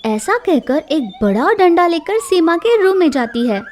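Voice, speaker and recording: Female storyteller, scripted narration of a domestic tale, very high voice, unhurried pace. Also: very animated, darkish tone.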